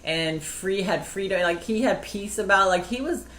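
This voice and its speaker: A woman's voice: mid-range voice, normal speed, a bit bright. Middle-aged woman chatting in echoey room. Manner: chatty storytelling, acting out quotes. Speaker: co-host. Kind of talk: casual chat about marriage. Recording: echoey room with faint ambience.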